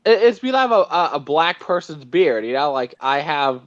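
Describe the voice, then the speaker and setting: A young man in casual livestream chat, excitable and rambling. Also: fairly high pitch, moderate pace, no room sound. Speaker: livestream co-host. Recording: dull dry mic.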